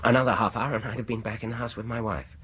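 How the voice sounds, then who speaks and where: An actor playing a probing, falsely polite British dentist in a radio horror drama, with a husky voice and an insinuating, deliberate manner. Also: male voice, mid-range voice, fairly even intonation, fairly bright tone, a little hiss or hum, natural voice. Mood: quietly probing. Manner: deliberate, insinuating, falsely soothing, chuckling at his own remarks.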